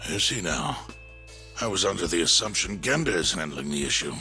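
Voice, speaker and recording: A fatherly old yakuza patriarch in a game cutscene. His deep voice creaks and moves expressively between fondness and caution, unhurried and knowing, with music playing behind it. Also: lively intonation. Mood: cautionary. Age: older. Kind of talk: English video game dub acting.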